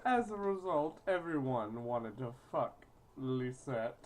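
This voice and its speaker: Low, slow, animated woman joking through a game. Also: a little hiss or hum. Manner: foul-mouthed, stumbling over reading. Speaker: gaming video host. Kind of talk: casual, crude joking game commentary and read-aloud.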